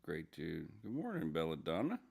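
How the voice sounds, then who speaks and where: A man hosting a casual morning livestream, greeting viewers by name in a drowsy, rambling way with a low, gravelly morning voice. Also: wildly swooping pitch, moderate pace, darkish tone. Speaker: livestream host.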